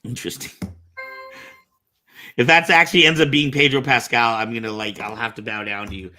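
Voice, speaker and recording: A man with a bright voice and a mid-range pitch. He speaks as a podcast host in a relaxed conversational style, as if among friends. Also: a little fast, soft background music. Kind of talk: casual chat.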